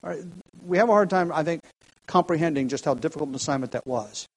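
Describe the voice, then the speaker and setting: Male preacher, somewhat high-pitched, earnest teaching tone with emphatic rises. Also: fast, slightly rough, low-quality recording. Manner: earnest, with frequent restarts and rising emphasis. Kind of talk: expository sermon.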